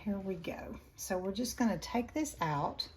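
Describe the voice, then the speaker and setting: A woman, hosting a home cooking lesson with a chatty step-by-step manner; her voice is raspy from allergies and she breathes heavily. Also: medium pitch, animated, unhurried, darkish tone, slight background noise. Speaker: home cooking channel host. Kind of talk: casual cooking demonstration. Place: home kitchen.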